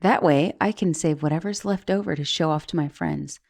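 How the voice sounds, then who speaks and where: A woman's voice: low voice, moderate pace, gravelly, darkish tone. A woman voicing a vengeful, teasing young giantess in an ASMR roleplay monologue, mocking and menacing in a playful, theatrical way. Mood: gloating, threatening. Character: gloating, teasing vengeful young giantess taunting shrunken captive.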